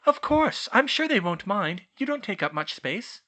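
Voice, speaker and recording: A narrator voicing a clever teenage girl witch who speaks gently and politely. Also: medium pitch, very animated, moderate pace, voice pushed up. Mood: gentle.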